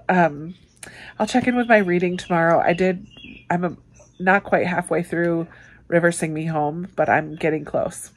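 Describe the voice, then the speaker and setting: A woman vlogger chatting casually and informally to camera about her day, in an easygoing way. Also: fairly low pitch. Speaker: book vlogger, host. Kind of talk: casual weekend vlog chat to camera.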